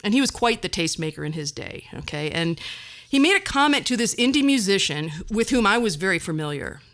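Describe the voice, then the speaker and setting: A woman's voice: medium pitch, very animated, brisk, fairly bright tone. A middle-aged woman talking conversationally as a podcast host, with wry, self-deprecating asides.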